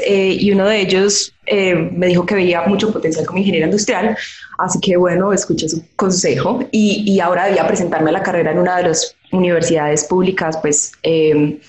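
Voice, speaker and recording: A woman's voice: medium pitch, darkish tone, a little hiss or hum. Colombian woman guest, chatty with lots of ums, echoey call audio. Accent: Colombian Spanish. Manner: chatty, storytelling, frequent fillers and restarts. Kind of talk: interview over video call. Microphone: video call.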